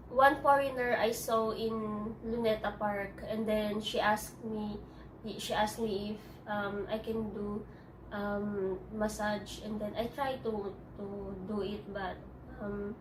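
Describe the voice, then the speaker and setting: Halting Filipino-accented woman, distant mic. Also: medium pitch, flat delivery, background noise. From Philippines. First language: Tagalog. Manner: halting, hesitant, many restarts. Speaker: interviewee. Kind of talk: personal interview. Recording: echoey room, distant mic.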